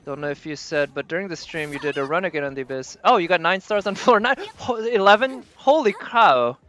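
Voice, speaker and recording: A woman giving casual livestream game commentary, thinking aloud in halting fragments as she plays. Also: on the low side, fairly bright tone, soft background music. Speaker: game streamer.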